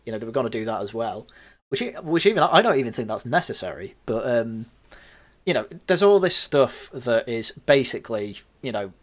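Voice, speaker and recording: Man in casual, halting debate. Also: medium pitch, big pitch swings. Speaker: podcast panellist. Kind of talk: casual political discussion.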